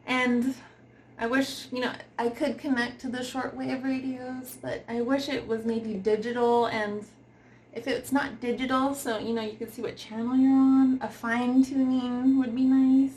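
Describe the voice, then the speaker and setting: A woman giving an informal, unscripted product review, giggly and often pausing to search for words. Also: high-pitched, a little mellow, slight background noise.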